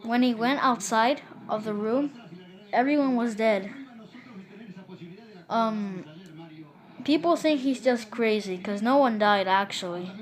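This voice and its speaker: A woman improvising a scary story in a casual, halting and repetitive way. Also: on the high side.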